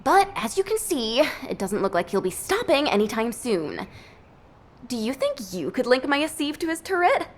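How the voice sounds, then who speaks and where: An actress voicing an excitable, huffy young woman operative in a video game. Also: a little high, normal speed. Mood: frustrated. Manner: animated, huffy.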